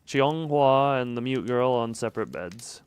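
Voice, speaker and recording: Smooth-voiced man reading a visual novel. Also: medium pitch, animated, a little slow. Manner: reads narration evenly, breaks off for chuckling asides. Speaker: streamer.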